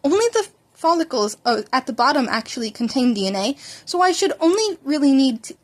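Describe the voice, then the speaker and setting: A woman voicing an excitable, polite teenage boy hero-in-training who rambles and stammers, in a story reading. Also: high voice, brisk. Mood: baffled, rambling.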